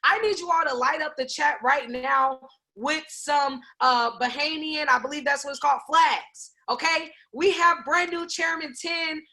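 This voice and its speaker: A woman's voice: high-pitched, measured pace, sharp tone. A woman hosting a video mentorship call, introducing the guest with energy and correcting herself as she goes.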